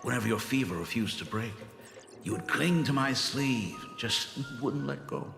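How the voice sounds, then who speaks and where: A man playing a gentle, wistful aging father in a video game, speaking fondly and formally with frequent sighs. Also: mid-range voice, expressive, vocal fry. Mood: tender. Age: older. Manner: sighing, unhurried, fond and formal. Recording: roomy echo, music behind. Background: music.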